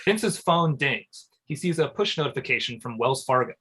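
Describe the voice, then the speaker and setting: A man's voice: mid-range voice, expressive, normal speed, fairly bright tone, breathy edge. A man reading screen directions and comic narration at a live table read.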